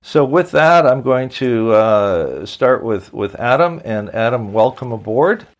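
A man hosting a podcast, reading a sponsor message and then interviewing a guest in an unhurried way with some hesitant fillers. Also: mid-range voice, sing-song. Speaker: podcast host.

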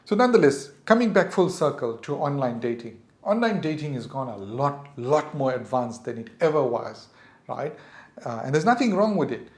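A man's voice: medium pitch, lively intonation, moderate pace, darkish tone, roomy sound. A middle-aged man with a South African English accent, hosting a podcast and earnestly advising parents in a reflective monologue. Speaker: podcast host.

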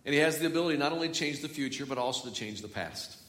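A man's voice: a little high, lively intonation, racing, fairly bright tone. A man preaching a sermon as a pastor, chatty and joking, with frequent restarts. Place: large church hall. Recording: echoey church hall from far off.

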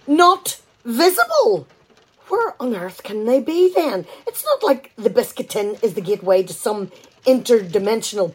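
A sarcastic, chatty wife in a radio comedy. Her voice is highish and slightly husky, and it swings widely as she fires off indignant questions in an exasperated way. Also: exaggerated voice, poor audio. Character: exasperated, sarcastic, talkative wife at a kitchen table. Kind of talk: radio play comedy dialogue.

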